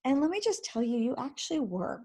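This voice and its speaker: A woman in her forties with a croaky, hoarse voice, hosting a chatty faith-based parenting vlog, laughing often and drifting into tangents. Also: sits high, very animated, brisk, mellow. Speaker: vlog host and ministry founder. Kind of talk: casual faith-based parenting talk to camera. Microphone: microphone she sometimes bumps.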